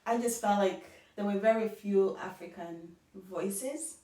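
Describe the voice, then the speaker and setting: Young woman, ugandan accent, quick and chatty, echoey room, distant mic. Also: medium pitch, mellow. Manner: quick, chatty, laughs often. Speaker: co-host. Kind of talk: casual conversation.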